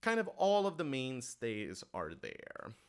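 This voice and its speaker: A man's voice: mid-range voice, huge pitch swings, takes it slowly. A man hosting a casual livestream Q&A, talking informally and thinking aloud as he corrects himself. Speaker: podcast writer-director hosting a livestream Q&A.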